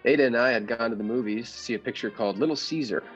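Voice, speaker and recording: A man playing a meek, henpecked husband who narrates his secret escape in measured, formal old-time radio style. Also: mid-range voice, a bit bright, lo-fi sound, soft background music, close to an everyday voice. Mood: brooding, confiding.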